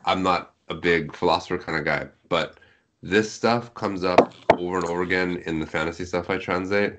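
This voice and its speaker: A man's voice: low voice, steady tone, moderate pace, heavy background noise. A man, around his thirties, chatting casually on a podcast, explaining things in a self-deprecating way. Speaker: guest, literary translator. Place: at home on an internet call.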